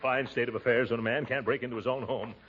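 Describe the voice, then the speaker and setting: An actor playing a pompous, flustered middle-aged uncle in a blustering, chuckling 1940s radio comedy style. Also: male voice, medium pitch, fairly even intonation, fast talker, a bit bright, dull audio, close to an everyday voice. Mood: grumbling. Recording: noisy, band-limited old radio recording.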